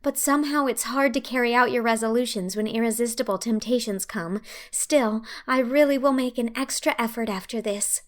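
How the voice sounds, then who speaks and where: A woman's voice: fairly high pitch, normal speed, voice pushed up, natural voice. A woman voicing a chatty, dreamy orphan girl who gushes effusively. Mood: rapturous. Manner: gushing, effusive.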